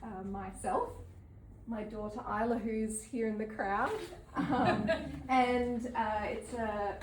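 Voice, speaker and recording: An Australian woman in her thirties from New South Wales gives an unscripted artist talk, halting often with ums. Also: fairly high pitch, steady tone. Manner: halting, with many ums and soft laughs. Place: gallery event space with an audience. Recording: roomy, from some distance, faint background noise.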